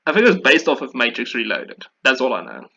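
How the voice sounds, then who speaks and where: A man's voice: medium pitch, very animated, fast talker, vocal fry, bright, forceful tone, dull audio. A man chatting casually and enthusiastically about video games in an informal way, as a podcast host.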